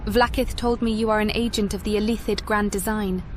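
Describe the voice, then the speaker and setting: A woman's voice: mid-range voice, steady tone, fairly quick, a little hiss or hum, close to an everyday voice. A woman voicing a young elf sorceress who speaks in an earnest, guarded way. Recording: game audio with music.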